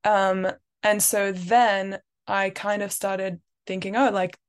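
A woman's voice: medium pitch, level tone, takes it slowly, clear, resonant tone. Thoughtful young woman. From France, raised in London. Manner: thoughtful, many "like" and "um" fillers. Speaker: guest. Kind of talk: reflective interview talk.

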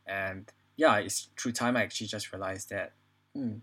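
Low-voiced young Singaporean man, chatting candidly. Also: moderate pace, pushed and bright, slightly rough. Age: 20s. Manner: hesitant phrasing with frequent "you know" and "like". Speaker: podcast guest. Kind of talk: casual personal conversation.

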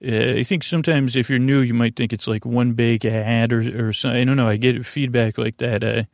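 A man hosting a bedtime podcast in a rambling, informal monologue, halting and meandering but reassuring and low-pressure, close to the mic. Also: fairly even intonation, creaky, no echo. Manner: halting, meandering, repeats and trails off mid-thought. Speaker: podcast host.

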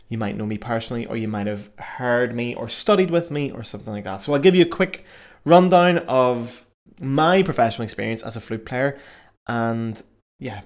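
A young man with a Belfast accent, hosting a solo podcast in a chatty, self-mocking way with frequent fillers. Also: mid-range voice. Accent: Belfast Northern Irish. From Northern Ireland. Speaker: podcast host. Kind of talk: casual solo podcast monologue.

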